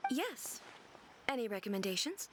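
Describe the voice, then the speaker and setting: A woman voicing a calm, regal female ruler in a game, speaking in a composed and measured way. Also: fairly high pitch, noisy background.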